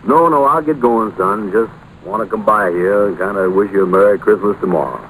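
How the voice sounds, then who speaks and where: A man's voice: lowish voice, fairly quick, a bit bright, natural voice, poor audio. An actor playing an easygoing, middle-aged male friend in an old-time radio comedy-drama, with a hearty, folksy manner. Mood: friendly. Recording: old radio broadcast with faint noise.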